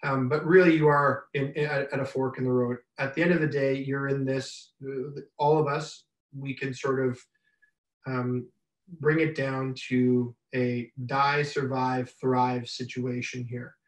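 A man, giving a business webinar with many fillers and restarts, his voice slightly raspy from much talking. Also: medium pitch, little pitch movement. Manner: halting, many fillers and repeated starts. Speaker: business mentor presenting a webinar. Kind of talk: unscripted slide presentation. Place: home room, indoors. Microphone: webcam on a video call. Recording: roomy webcam sound from a distance.

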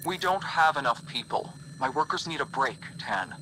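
A man's voice: mid-range voice, animated, normal speed, sharp tone, a little hiss or hum, low-quality recording. A man playing a harried, weary station work boss in a video game, pleading for his workers.

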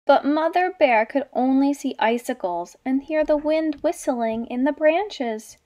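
Young lady teacher doing storytime for little kids, high expressive voice. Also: unhurried. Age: young adult. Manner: expressive, addressing small children with questions. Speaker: children's literacy teacher. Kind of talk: story read-aloud and lesson for young children.

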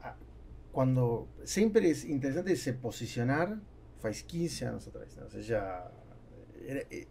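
A man in his forties with a Spanish-speaking South American accent answers as a podcast guest. His voice is dark and creaky, and his phrasing is hesitant and conversational. There is faint noise behind him. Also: medium pitch, animated, unhurried. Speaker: guest, entrepreneur. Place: small foam-treated podcast studio. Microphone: studio mic on boom arm.

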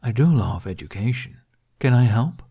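A man voicing a wry, unbothered male dragon in an audiobook, with a dry, deadpan, teasing delivery. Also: medium pitch, normal speed, creaky, slightly rough. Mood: amused.

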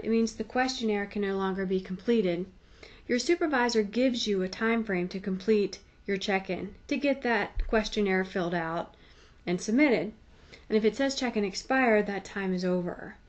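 A woman giving a conversational, explanatory tutorial voice-over in a plain-spoken, instructive manner. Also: medium pitch. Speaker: tutorial presenter.

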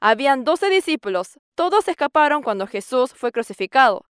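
A woman's voice: high-pitched, sing-song, moderate pace. A woman in her sixties, a farmer, giving an earnest church testimony on a studio stage, reciting scripture and acting out conversations. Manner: earnest, quotes scripture at length and acts out dialogue with a raised voice. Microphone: lapel mic.

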